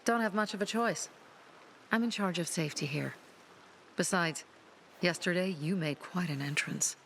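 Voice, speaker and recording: A woman's voice: fairly low pitch, normal speed, gravelly, fairly bright tone, slight background noise. An actress playing a firm, wary young woman in charge of a settlement's safety in a video game. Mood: wary, cool. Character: guarded, authoritative young female safety chief. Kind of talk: naturalistic video game acting.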